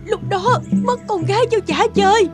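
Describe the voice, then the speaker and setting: A woman's voice: very high-pitched, normal speed, soft background music. A woman playing a snobbish, fretful landowner's wife, disdainful and exclamatory, in a fairy-tale cartoon dub. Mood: worried, scheming.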